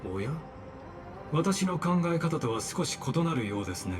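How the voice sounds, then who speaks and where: An actor playing a cryptic, composed scholar antagonist in a video game, speaking with formal, measured politeness. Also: male voice, a little high, sing-song, noisy background. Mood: composed, ominous. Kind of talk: Japanese video game dub acting. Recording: game music behind the voice.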